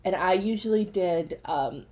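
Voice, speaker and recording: A young woman co-hosting a podcast, chatting casually with quick asides and self-corrections. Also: fairly low pitch, a little mellow. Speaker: podcast co-host. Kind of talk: casual chat.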